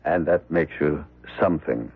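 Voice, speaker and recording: Merciless plague spectre: husky, creaky, formal and commanding, on narrow vintage radio sound. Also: male voice, rumbling low, no room sound, full character voice. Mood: solemn, menacing. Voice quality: gravelly. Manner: slow, solemn, formal, commanding. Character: Red Death incarnate, solemn merciless male spectre. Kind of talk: radio drama acting. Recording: muffled, narrow-band old radio recording.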